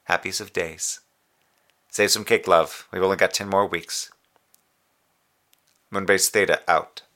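An actor playing a middle-aged, conscientious moonbase communications officer in a sci-fi audio drama, with a gravelly, wry, weary delivery. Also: male voice, deep voice, fairly even intonation, measured pace, sharp tone. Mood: tender, wistful. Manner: formal reporting with dry sarcasm and tenderness. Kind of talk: audio drama monologue as a radio report.

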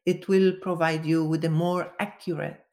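A woman's voice: low-pitched, fairly even intonation, moderate pace, darkish tone. Woman explaining carefully. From Venezuela. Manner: careful, explaining step by step with restarts. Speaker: guest, color expert. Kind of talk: explanatory interview answers.

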